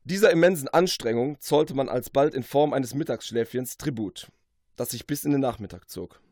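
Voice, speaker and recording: A man's voice: medium pitch, moderate pace, darkish tone, dry recording, clean studio sound. A man narrating a comic fantasy parody from a script, in a formal, mock-epic storyteller manner. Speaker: author reading from his own book.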